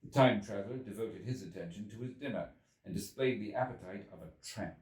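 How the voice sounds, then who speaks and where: A man's voice: lowish voice, fairly quick, a little mellow. A man reading a literary story aloud as scripted narration, in a dramatic storytelling manner. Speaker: audiobook reader. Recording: roomy echo, distant from mic.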